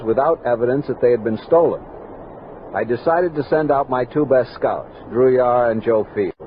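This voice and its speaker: A man's voice: mid-range voice, phone-quality sound, a little hiss or hum, natural voice. An actor with an American accent playing a grave, commanding army captain who narrates his expedition journal in a radio drama. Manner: measured, literary storytelling with crisp orders. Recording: band-limited 1960s studio radio recording with music.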